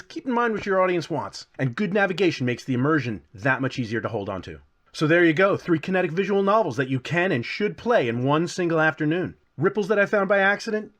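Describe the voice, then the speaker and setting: A man's voice: on the high side, a bit bright. A man hosting a casual scripted game review with playful, self-deprecating asides. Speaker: video game reviewer and channel host.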